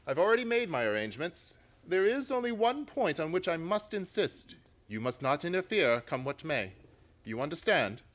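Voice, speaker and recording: A man playing a confident, commanding gentleman detective in a theatrical radio play. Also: a little high, sing-song, moderate pace. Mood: instructing.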